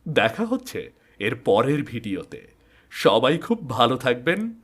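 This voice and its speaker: Slow-paced male ghost-story narrator. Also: medium pitch, very animated. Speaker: narrator and channel host.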